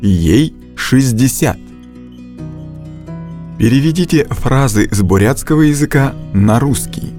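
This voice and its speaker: A man reading scripted narration for a language course, with slow, deliberate instructional delivery and a close studio mic. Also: mid-range voice, lively intonation, warm tone, faint music behind.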